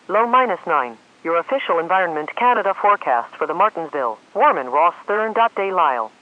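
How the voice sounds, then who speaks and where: Female automated forecast reader, formal synthetic delivery with very varied intonation, muffled lo-fi radio sound. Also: lowish voice. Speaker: automated weather radio announcer. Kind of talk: scripted forecast and climate summary reading. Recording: dull lo-fi weather radio audio.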